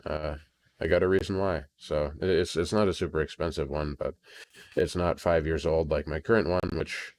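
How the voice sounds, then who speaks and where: An American man with an extremely low voice talks through a casual solo audio log. He rambles hesitantly and sounds resigned. The home computer recording has some crackling. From Maine, United States.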